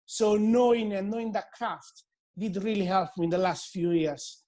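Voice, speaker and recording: A man's voice: high voice, moderate pace, mellow. A middle-aged Italian man with an Italian accent, a hairdresser talking as a guest in a casual interview, hesitant with many fillers and restarts. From Italy.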